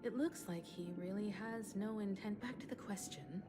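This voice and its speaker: A woman's voice: mid-range voice, normal speed, close to an everyday voice. An actress playing a composed, reasoning woman in scripted video game dialogue. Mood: pensive, matter-of-fact. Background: game music.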